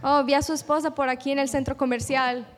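A woman interpreting a preacher's sermon live, quickly following him line by line. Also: sits high, flat delivery. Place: large hall. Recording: reverberant hall.

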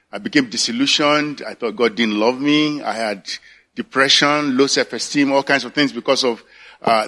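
A man in his sixties with a Nigerian accent, giving a halting personal faith testimony at a church service. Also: medium pitch, bright, forceful tone. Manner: halting, searching for words. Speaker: church elder candidate.

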